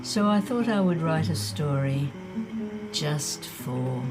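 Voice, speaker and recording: Slow-spoken woman introducing her podcast over music. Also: low-pitched, lively intonation. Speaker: host and writer of an audio drama podcast. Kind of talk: spoken introduction to a bonus episode.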